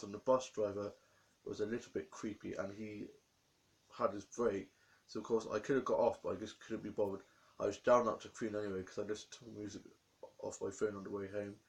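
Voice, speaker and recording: A young man with a croaky voice from a cold, rambling through a diary vlog about his day. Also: on the low side, steady tone, brisk, low-quality recording. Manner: rambling, run-on storytelling. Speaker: vlogger.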